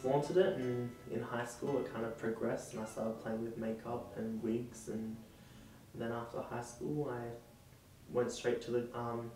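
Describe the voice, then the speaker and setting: A nineteen-year-old man, a drag performer, telling his story in an interview, pausing often with ums. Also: medium pitch, flat delivery, dark tone. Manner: hesitant, many ums. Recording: distant echoey mic with music. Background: guitar music.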